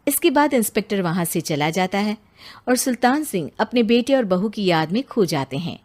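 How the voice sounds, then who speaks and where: A woman's voice: medium pitch, expressive, moderate pace, a little mellow. A woman narrating a cartoon horror story in a scripted, dramatic storytelling manner.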